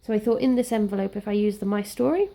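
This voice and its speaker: Welsh woman, young, slightly croaky from a cold, chatty and rambling, warm gravelly tone. Casual crafting vlog. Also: medium pitch, fast. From Wales. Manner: chatty, rambling, self-deprecating. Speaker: scrapbooking crafter.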